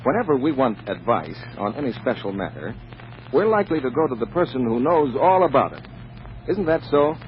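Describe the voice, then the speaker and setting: A polished male announcer sells in 1940s radio style. His pitch moves widely and persuasively. The old recording is muffled with some noise. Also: big pitch swings, a little fast. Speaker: radio announcer reading sponsor copy.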